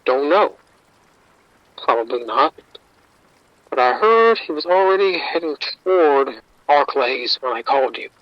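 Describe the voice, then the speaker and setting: A man's voice: medium pitch, lively intonation, a little slow, pauses often, no echo, highs cut off. An actor playing a streetwise male bookie informant, wry and defensive, talking around the point in an audio drama.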